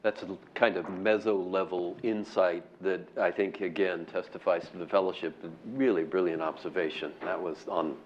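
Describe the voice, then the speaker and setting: Man, moderator, very low voice, muffled distant sound, faint hiss. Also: steady tone, moderate pace, hardly any pauses. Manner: brief, appreciative remarks. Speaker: panel moderator. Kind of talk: moderating a panel.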